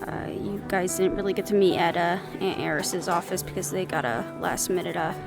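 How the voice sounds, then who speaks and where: A woman's voice: fairly low pitch, a little fast, vocal fry, music in the background. A woman acting in an audio roleplay as a sardonic, nervous young woman who mutters dry asides to her mate. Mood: tense, polite.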